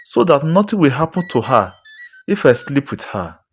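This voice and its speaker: Woman doing a lovestruck young man, very low. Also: sing-song, normal speed, husky, faint music behind, full character voice. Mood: pleading. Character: smooth-talking, lovestruck young man with sudden wealth. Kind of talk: moral folktale storytelling.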